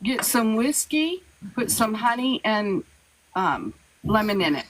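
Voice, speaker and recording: A woman's voice: fairly high pitch, very animated, slow pace, poor audio. A woman chatting casually in a group live stream, talking in a laid-back way.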